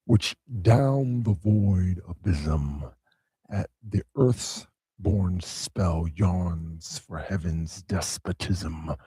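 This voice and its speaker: A man's voice: mellow, no room sound, heavily acted. A man voicing Demogorgon, a vast primal power, in a solemn dramatic verse reading. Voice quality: deep, husky, slow and solemn.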